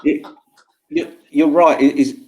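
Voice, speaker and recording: A man speaking softly and patiently in a casual chat with his family support team, with hesitant fillers. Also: a little high, slow.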